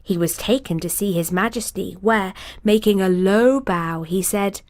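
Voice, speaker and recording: A woman reading a fairy tale aloud in an expressive storybook cadence. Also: medium pitch, measured pace.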